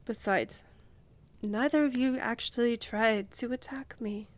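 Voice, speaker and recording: A woman playing a poised vampire countess in a fantasy audio drama, measured and regal, condescendingly affectionate, with a breathy voice that often drops to a whisper. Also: on the high side, lightly acted. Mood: composed, gracious. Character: poised, commanding young vampire countess, coolly indulgent.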